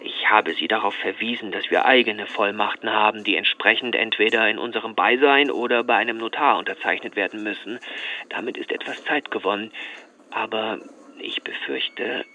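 A man's voice: on the low side, moderate pace, bright, forceful tone. An actor playing a worried, politely insistent businessman speaking on the phone in a radio play. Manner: polite, formal, pressing. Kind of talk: naturalistic radio play acting. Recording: faint background noise.

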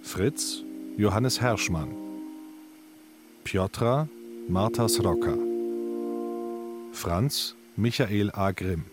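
A man's voice: lowish voice, very slow, pauses often, over loud music. A man reading scripted radio credits as an announcer.

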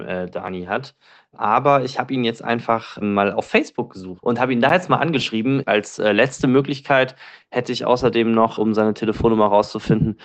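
A man's voice: medium pitch, no room sound. A man, chatting casually in a self-deprecating, hesitant way. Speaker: podcast guest telling a personal story. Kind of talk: casual chat.

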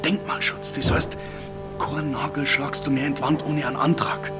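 A man's voice: fairly high pitch, fairly even intonation, normal speed, close to an everyday voice. A man playing a wily, fussing village mayor with a folksy, emphatic Bavarian dialect in a drama. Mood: alarmed warning. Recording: music behind the voice.

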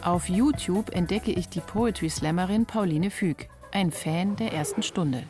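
A woman in her forties with a fairly low voice narrates as a reporter. Her delivery is scripted documentary narration, with faint music underneath. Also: measured pace. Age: 40s.

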